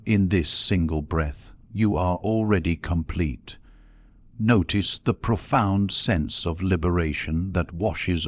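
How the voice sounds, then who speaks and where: A man with a low voice leads a guided sleep meditation. He reads scripted instructions at a slow, measured pace with a soothing delivery. The recording is dry and close, free of room sound. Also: no room sound.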